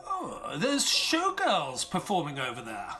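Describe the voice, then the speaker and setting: This is a good-natured, bumbling sidekick, as a man plays him in an audio drama. He speaks slowly, stammers, and lets his pitch rise and fall warmly. Faint piano music plays behind him. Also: wildly swooping pitch. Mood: jovial. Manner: hesitant, jolly, stammering.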